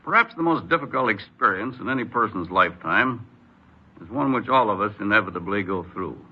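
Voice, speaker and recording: Man reading a formal speech, lowish husky voice, muffled old radio sound. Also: animated, brisk. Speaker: corrections official giving commentary. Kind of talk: formal scripted address.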